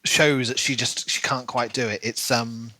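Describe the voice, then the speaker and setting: A man with a bright, forward voice in a dry, close recording. He talks casually as a podcast co-host, picking things apart analytically and giving his opinions. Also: medium pitch, fairly even intonation, unhurried, no echo. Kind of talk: casual book discussion chat.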